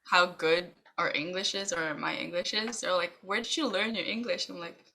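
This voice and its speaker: A young woman from Macau co-hosts a casual podcast, rambling through her stories and giggling often. Her voice is bright and forward, with a crisp edge. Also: fairly low pitch, fairly even intonation, moderate pace. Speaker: podcast co-host. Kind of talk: casual chat.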